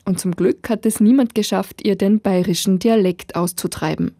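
A woman reading scripted TV report narration in an even, measured way. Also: mid-range voice, no echo, clean studio sound.

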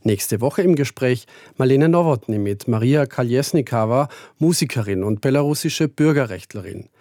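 Guy doing radio announcements, smooth and steady, mid voice, dry. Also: mid-range voice, moderate pace, no echo. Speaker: radio presenter. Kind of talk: programme announcements.

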